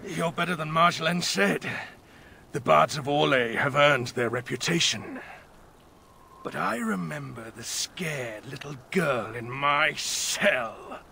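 Game villain: a contemptuous army commander, highish hard-edged male voice, gravelly, faint noise behind. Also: slow pace, bright, forceful tone, exaggerated voice. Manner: contemptuous, theatrical. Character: sneering, cruel male military commander villain. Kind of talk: dramatic game dialogue.

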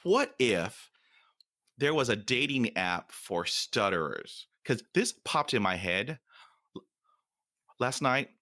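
A man's voice: mid-range voice, big pitch swings, takes it slowly, long pauses, pushed and bright. A man hosting a podcast interview, playful and laughing at his own questions, with an occasional stutter. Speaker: podcast host.

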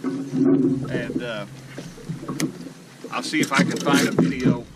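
A folksy man talks to a boat-mounted camera at dusk, winded and excited after a fight with a fish. His voice sits at an ordinary middle pitch and he rambles with plenty of filler words. Heavy wind and lapping water roar over the open-air recording. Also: slow. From Illinois, USA. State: out of breath. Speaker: fishing channel host. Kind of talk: casual outdoor vlog talk. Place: small boat on a lake outdoors at dusk. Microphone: action camera mounted in the boat. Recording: loud wind and water noise outdoors.

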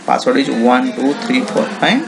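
A man with an Indian accent presenting an informal software walkthrough in halting, repetitive, non-native English phrasing. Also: mid-range voice, vocal fry. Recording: noisy home-made screen recording.

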